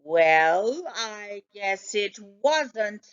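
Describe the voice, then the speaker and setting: A woman's voice: mid-range voice, expressive, drawn-out words, smooth, lo-fi sound. A woman voicing a dry, skeptical male family dog in a children's storybook, with a wry, incredulous manner.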